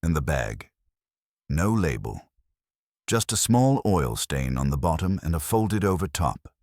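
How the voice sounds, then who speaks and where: A man's voice: very deep voice, big pitch swings, unhurried, darkish tone, no room sound, studio quality. A man reading a scripted first-person detective story in a wry, hard-boiled manner. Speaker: audiobook narrator.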